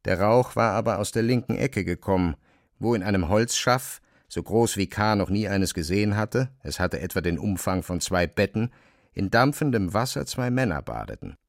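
Low male narrator reading classic fiction, measured and deliberate, really dry studio sound. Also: lively intonation, no echo. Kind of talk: literary narration in a radio drama.

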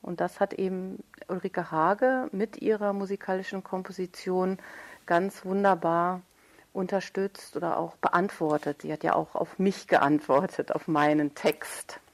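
A woman's voice: on the low side, steady tone, a little slow. A woman speaking as an interviewed writer, thoughtful and articulate, searching for precise wording as she reflects on literature. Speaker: writer interviewed about own radio play.

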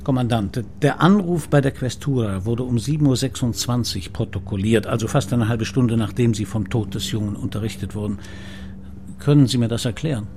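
An actor playing a persistent, politely ironic police commissioner in a naturalistic radio crime drama. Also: male voice, mid-range voice, fairly quick, darkish tone, slight background noise, natural voice. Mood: insistent. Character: male police commissioner, persistent, dryly sardonic.

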